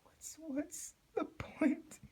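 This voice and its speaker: A woman's voice: high-pitched, steady tone, drawn-out words, warm tone, pitched above the performer's own voice. A woman voicing an earnest, anxious and confused female cartoon pony, hesitant and worried, in a horror story reading. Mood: tearful despair.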